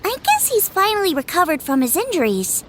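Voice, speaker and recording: A sweet young girl from an anime game, extremely high-pitched and lively. She talks in a practical, explanatory way. Noticeable noise runs behind her. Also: brisk. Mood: explaining.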